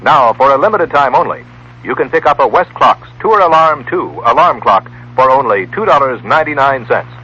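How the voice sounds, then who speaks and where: A man's voice: medium pitch, animated, moderate pace, pushed and bright, slightly rough. An American man reading a scripted commercial in 1960s radio announcer style. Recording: muffled vintage radio recording.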